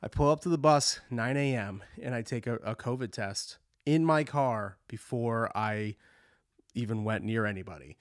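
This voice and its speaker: A man's voice: medium pitch, moderate pace, no echo, studio quality. Congested man in a rambling monologue. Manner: rambling and candid, swearing often. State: congested from a cold. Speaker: touring musician and podcast host. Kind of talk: casual monologue.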